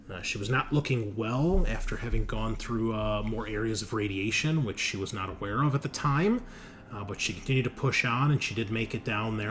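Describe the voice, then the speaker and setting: A man narrating a recap as game master of a tabletop horror game, unscripted and halting, speaking directly to the players. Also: on the low side, a bit bright. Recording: clear background noise.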